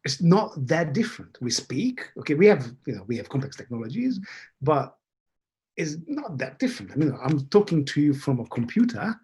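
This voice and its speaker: A man from Hungary, speaking as an academic guest in a halting, musing way that leans on key words, over a video call. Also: a little high, big pitch swings, darkish tone, husky. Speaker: academic guest, behavioral scientist. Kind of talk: thoughtful interview conversation.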